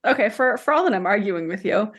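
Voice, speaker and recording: A woman's voice: mid-range voice, expressive, fast talker. Female podcast co-host, conversational, argumentative but careful to qualify what she says. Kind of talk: casual book discussion.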